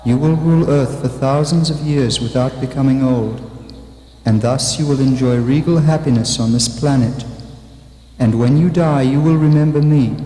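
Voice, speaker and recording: A man playing a majestic, serene Supreme Lord in a devotional puppet show, making solemn, measured pronouncements with reverb. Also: medium pitch, mellow. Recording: long reverb, music behind.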